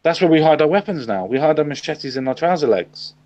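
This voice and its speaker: Brief: English streamer, tired and tipsy, teasing banter, dull lo-fi audio. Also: male voice, fairly high pitch, animated, fast talker, a little mellow. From England. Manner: sleep-deprived, swearing, teasing, laughing often. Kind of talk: casual political banter.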